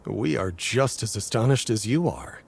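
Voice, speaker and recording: A grave werewolf leader in a fantasy game. His voice is low and husky, and he speaks in a measured, guarded way, like one responsible for sheltering his people. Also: expressive, a little mellow, exaggerated voice. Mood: solemn, reassuring. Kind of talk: dramatic game cutscene acting.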